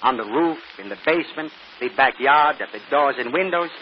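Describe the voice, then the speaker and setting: An actor playing a confident, boastful stage magician, speaking formally and grandly in old radio mystery style. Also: male voice, medium pitch, lively intonation, natural voice. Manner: formal, grandiose, deliberate showman delivery. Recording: muffled, noisy old radio broadcast.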